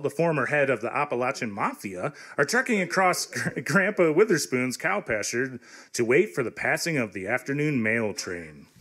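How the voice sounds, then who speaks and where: A man's voice: a little high, fairly bright tone. A man giving scripted, folksy narration as the narrator of a live radio theatre show.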